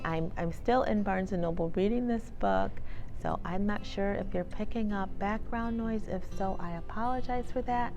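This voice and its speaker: A woman host reading a children's book aloud in an animated, expressive storytelling manner. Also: medium pitch. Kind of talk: expressive storybook read-aloud with casual introduction. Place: inside a bookstore.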